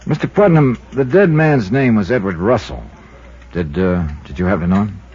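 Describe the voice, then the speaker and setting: An actor playing a dry, world-weary insurance investigator in 1950s radio detective style, clipped and deadpan, with an American accent. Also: male voice, on the low side, moderate pace, slightly rough. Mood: polite, probing. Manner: clipped, hard-boiled, deadpan. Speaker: lead actor and narrator of a detective series. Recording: muffled 1950s radio recording, music behind.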